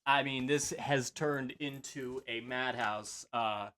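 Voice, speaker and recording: Bright-voiced man rambling about wrestling. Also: mid-range voice, steady tone, slow pace. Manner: rambling, filler-heavy, hesitant. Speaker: podcast co-host. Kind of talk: casual wrestling discussion.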